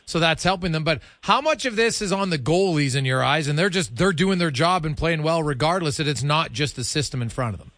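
A man's voice: fairly high pitch, a little fast, few pauses, fairly bright tone, no room sound. A man hosting a sports radio show, asking questions in a casual, conversational interview style.

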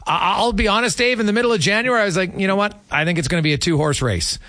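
A man with a slightly high voice hosts a sports talk segment. He reels off figures from memory, pausing to find the details. The sound is very dry, with no room around it. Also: sharp tone, no room sound. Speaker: sports radio host. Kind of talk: unscripted hockey talk and analysis.

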